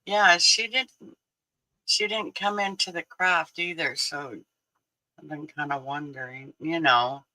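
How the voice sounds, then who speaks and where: Man in his 60s, livestream host, unhurried, very bright tone, chatty with frequent laughs. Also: a little high, steady tone, poor audio. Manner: chatty, laughs often, answers viewers. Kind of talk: casual livestream chat.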